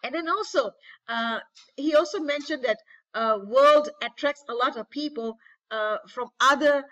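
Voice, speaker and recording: A woman's voice: high voice, a little slow, fairly bright tone, dull audio. A woman leading a network marketing training over a webinar, talking enthusiastically and repeating phrases to rally her listeners. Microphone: webinar call.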